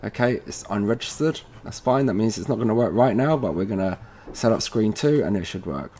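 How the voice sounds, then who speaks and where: A man presenting an informal software tutorial, casually thinking aloud as he goes step by step. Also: on the low side, animated, a little fast. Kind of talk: informal screen-recorded software walkthrough. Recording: noisy room with keyboard typing.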